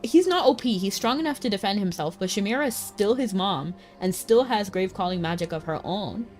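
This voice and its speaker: A woman's voice: mid-range voice, lively intonation, fairly quick, a little mellow. Female streamer, mid-range voice, casual slangy game commentary, playful and reactive, light music underneath. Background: faint game music.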